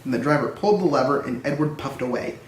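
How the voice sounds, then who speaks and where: A man's voice: medium pitch, fast. A man narrating a children's story as a storybook reader. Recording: roomy echo, distant microphone.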